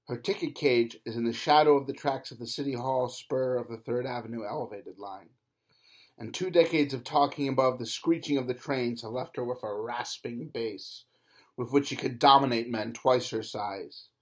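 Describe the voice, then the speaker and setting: Guy reading an audiobook, steady and measured, middle pitch. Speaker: audiobook reader. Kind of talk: audiobook narration.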